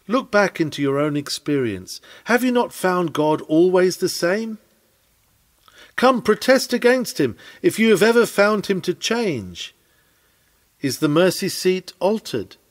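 Higher-voiced man reading a sermon. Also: big pitch swings, a little slow. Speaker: sermon reader. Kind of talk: reading a 19th-century sermon aloud.

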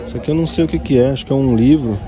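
A man's voice: medium pitch, racing. A Brazilian man with a São Paulo accent chatting casually and in slang as a vlogger, walking through an outdoor market. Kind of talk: casual handheld market walkthrough, slangy chat. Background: crowd and street sounds.

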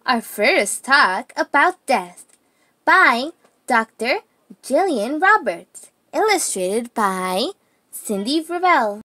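A woman reading a children's picture book aloud, deliberately, phrase by phrase with pauses. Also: high voice, sing-song, pushed and bright, no echo.

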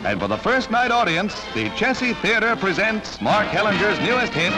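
A male American newsreel narrator of the 1940s speaks over music in a high voice. His delivery is formal and declamatory, with lively swings of pitch, as he reads a prepared script. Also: normal speed, rough recording.

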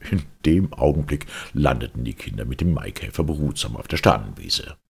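Very deep, gravelly man telling fairy tales. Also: fairly quick. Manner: theatrical, expressive. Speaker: audiobook reader. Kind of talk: animated fairy-tale storytelling.

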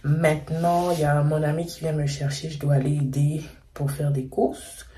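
A woman's voice: sits low, flat delivery, normal speed, warm tone, husky. A young woman vlogging to her phone camera, chatting in a rambling way and sometimes dropping to a whisper. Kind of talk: casual vlog chat to camera. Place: bathroom, car and grocery store. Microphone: phone camera, held or on dashboard. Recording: phone audio with car and store noise.

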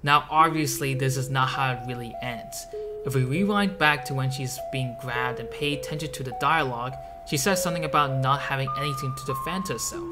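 Video essay narrator, medium pitch, scripted explanatory read, prominent music bed underneath. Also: a little fast. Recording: loud background music. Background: loud music.

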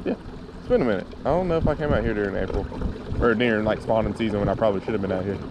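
A man with a fairly low voice talks casually to camera from a kayak. He rambles easily, thinking out loud. The action-camera audio has clear outdoor noise and clicking. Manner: easygoing, rambling, thinking aloud. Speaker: fishing vlogger. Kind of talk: casual chat to camera while fishing. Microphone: action camera mounted on the kayak or body.

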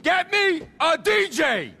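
Vain frazzled nightclub owner in a video game, high bright voice, very theatrical, sweary. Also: male voice, sing-song, normal speed, exaggerated voice. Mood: ranting, grandiose. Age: middle-aged. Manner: flamboyant, theatrical, profane. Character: vain, flamboyant, frazzled middle-aged male nightclub impresario. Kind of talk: video game cutscene acting.

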